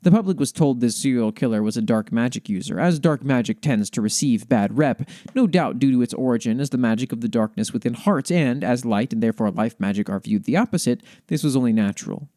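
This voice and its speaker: A man with a relaxed, mellow voice at a medium pitch hosts and reads written lore aloud. His delivery is measured and thoughtful, moving between narration and his own commentary. The sound is close and dry, with very little room in it. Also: dry recording. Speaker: podcast host.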